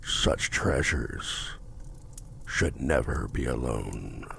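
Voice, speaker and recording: Man voicing a very deep, gravelly seductive demon. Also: expressive, fairly bright tone, slight background noise, heavily acted. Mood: seductive, smug. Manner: slow, deliberate, formal, domineering. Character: seductive possessive male demon contract-broker, menacing, smug. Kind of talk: intimate ASMR roleplay acting.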